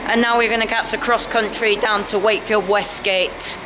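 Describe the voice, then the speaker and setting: A man's voice: high-pitched, steady tone, normal speed. A young man from England narrating a casual train-spotting vlog, upbeat and chatty, speaking into a handheld camera on railway platforms. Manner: upbeat, chatty, signs off with "enjoy". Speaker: train vlogger. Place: outdoor railway station platforms. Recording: loud ambient station and train noise.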